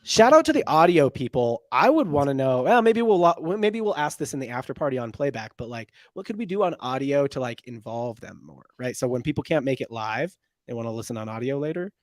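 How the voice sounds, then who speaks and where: A man's voice: medium pitch, lively intonation, fairly quick, dry recording, clean studio sound. A man hosting a casual sports podcast, talking loosely with lots of fillers and restarts as he runs through giveaways and sign-offs. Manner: loose, rambling delivery with many fillers and restarts. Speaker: podcast host.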